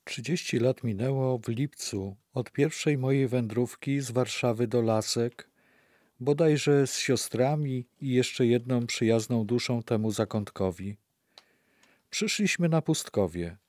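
A man's voice: medium pitch, measured pace, darkish tone, no echo, studio quality, lightly acted. A man playing a reflective older cardinal recalling the past solemnly in a radio play. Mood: reverent, reflective. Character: reminiscing Polish cardinal, reverent, reflective older priest. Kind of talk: radio play monologue, recollection.

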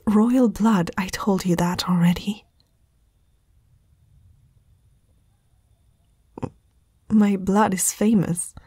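A haughty young princess, acted by a woman in a fantasy audio roleplay. She speaks in a very breathy whisper, slowly and haltingly, proud but afraid. The sound is close and dry, with a soft, dark tone. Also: medium pitch, no echo. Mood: proud, puzzled. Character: proud, frightened eighteen-year-old princess confronting a night intruder. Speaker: ASMR roleplay performer. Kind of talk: whispered ASMR roleplay acting. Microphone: close microphone, dry.

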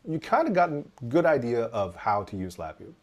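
A man's voice: medium pitch, fairly quick. A man in his forties from Taiwan, a podcast guest telling his story animatedly on a video call with a headset, often restarting his sentences. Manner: animated, gestures while talking, frequent restarts. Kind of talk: casual interview storytelling. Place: home room indoors.

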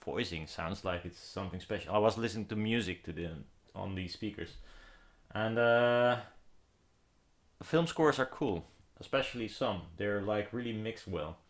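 A man's voice: fairly low pitch, moderate pace. A man in his thirties chatting casually and hesitantly, thinking aloud as he presents a demo. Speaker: DIY loudspeaker builder presenting a listening demo. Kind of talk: casual, unscripted chat. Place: living room with speakers, indoors. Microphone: camera a few metres away. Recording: roomy echo, slap delay.

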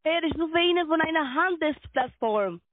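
A high-pitched woman works through a scripted sales call, insistent and repetitive. Her phrasing is halting and non-native, and the sound is a dry, thin phone line. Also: lively intonation, no echo. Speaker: call centre sales agent. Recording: narrow tinny phone line.

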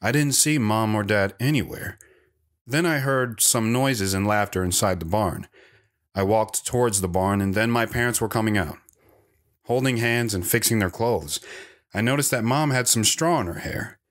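A man's voice: fairly low pitch, normal speed, lightly acted. A man playing a wandering man who fondly recalls his boyhood, telling it as first-person audio drama narration. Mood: matter-of-fact.

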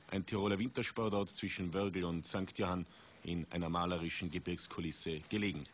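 A man commentating a ski race for TV, measured and rising in excitement at big moments. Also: deep voice. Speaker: sports commentator. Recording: 1980s TV broadcast with outdoor noise.

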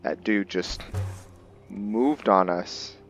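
A man giving casual roleplay gameplay commentary, thinking aloud in short, halting phrases as he plays. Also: fairly low pitch. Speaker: gaming video host, player. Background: game music.

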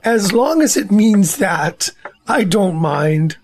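A man voicing a gentle, simple-minded young male bear who muddles long words, in an amateur family audio drama. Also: high voice, lively intonation, slow pace, husky, full character voice. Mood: earnest.

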